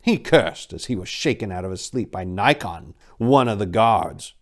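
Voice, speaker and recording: A man's voice: lowish voice, lively intonation, moderate pace. A man narrating in a theatrical, emphatic way, like a dramatic reading. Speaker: narrator and host.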